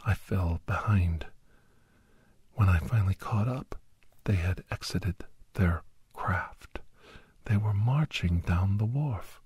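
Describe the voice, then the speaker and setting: A man narrating a suspenseful first-person ASMR story in a hushed, half-whispered voice with dramatic pauses. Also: low-pitched, breathy edge, dry recording. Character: doctor recounting a monster-hunting adventure, grave and awed.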